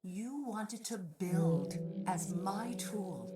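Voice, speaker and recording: Slow, imperious machine overlord. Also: female voice, mid-range voice, animated. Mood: scornful. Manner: grandiose, deliberate, commanding. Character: cold, imperious female machine overlord running a city. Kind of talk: dramatic video game voice acting. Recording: music behind the voice.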